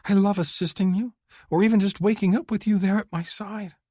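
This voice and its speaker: Male narrator doing a tearful young woman pleading her love, high and breathy, in a dry close recording. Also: fairly even intonation, brisk, dark tone, no echo. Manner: high, breathy, weeping delivery.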